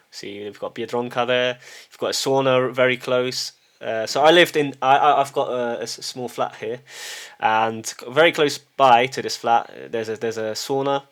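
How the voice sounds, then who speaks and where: A middle-aged man talking to camera in a relaxed, unscripted way, his voice sitting at an ordinary middle pitch. He stumbles and repeats little words as he restarts his sentences. He keeps tagging his points with a questioning "yes?" as if checking the listener is still with him. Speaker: vlogger. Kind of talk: casual spoken monologue. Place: lives in a small town.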